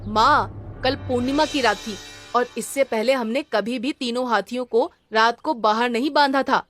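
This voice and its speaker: A woman's voice: fairly high pitch, moderate pace, faint music behind, lightly acted. A woman voicing an earnest, dutiful young village boy in a children's cartoon story.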